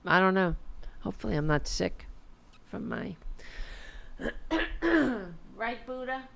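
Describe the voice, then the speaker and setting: A woman unhurriedly mocking the news on her home livestream, sarcastic and quick to swear. Her voice is smooth and resonant underneath, with a gravelly fry, and she is starting to lose it. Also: medium pitch, a bit bright. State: losing her voice. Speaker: political commentary host. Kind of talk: casual livestream commentary.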